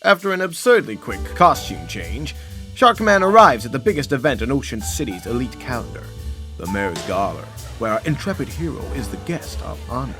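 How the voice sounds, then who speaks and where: A man's voice: mid-range voice, big pitch swings, brisk. A man playing a dramatic storytelling narrator in a superhero radio play. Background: music.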